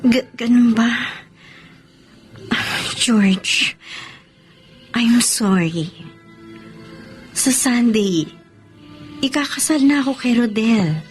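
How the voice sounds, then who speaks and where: Gentle but resolute bride-to-be. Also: female voice, a little high, lively intonation, very slow, pauses often. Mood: gently firm. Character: gentle, resolute bride-to-be forgiving her former love. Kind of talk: Filipino radio drama acting. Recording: faint music behind the voice.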